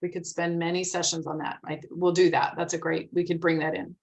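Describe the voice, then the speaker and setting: A woman's voice: lowish voice, little pitch movement, brisk, gravelly. A woman hosting an online group discussion in an encouraging, explanatory way, talking casually like an academic. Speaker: host of a phonetics club meeting, academic.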